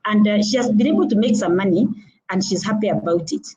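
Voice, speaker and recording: A woman's voice: medium pitch, quick pace, warm tone, highs cut off. A middle-aged woman with a Kenyan accent giving an earnest talk on networking, repeating her points with frequent hesitations. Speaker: motivational speaker. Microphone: video call.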